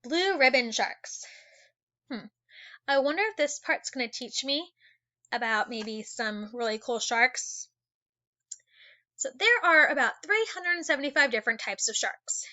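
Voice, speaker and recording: Female teacher for children's nonfiction read-aloud. Fairly high, bright, expressive delivery with chatty asides; slightly dull recording. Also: a little slow, long pauses. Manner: animated, exclaiming and wondering aloud for young listeners.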